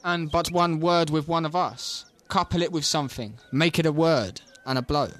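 A young man student playing a mocking, hot-headed gentleman in a Shakespeare audio play, bantering and taunting. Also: a little high, normal speed, lightly acted. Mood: defiant, taunting. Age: young adult.

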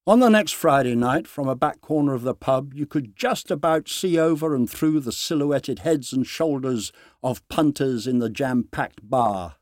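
Guy narrating an audiobook short story, mid pitch, deliberate dramatic pauses, dry close recording. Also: a little mellow, no room sound.

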